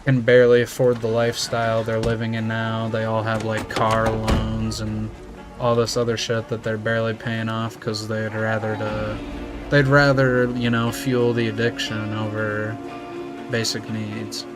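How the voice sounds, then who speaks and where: A young American man, just out of high school, chatting casually and rambling with viewers while he plays. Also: level tone, a little slow. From United States. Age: young adult. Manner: laid-back, rambling, slangy. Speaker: streamer. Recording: music playing behind.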